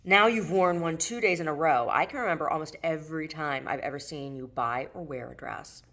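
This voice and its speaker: A woman's voice: low voice, a little fast, fairly bright tone. A woman reading the lines of a casual, bantering, mildly stubborn male friend in a novel. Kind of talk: acted dialogue in a novel reading.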